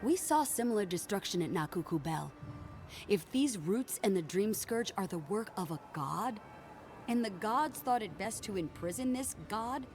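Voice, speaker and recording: A woman's voice: mid-range voice, animated, normal speed, darkish tone, faint music behind, lightly acted. A woman playing a skeptical, challenging frontier figure in a video game. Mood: accusing. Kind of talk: video game cutscene dialogue.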